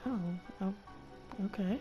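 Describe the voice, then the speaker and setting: A woman's voice: takes it slowly, muffled recording, music in the background. A woman streamer giving casual, sarcastic gameplay commentary in a slangy, wry manner.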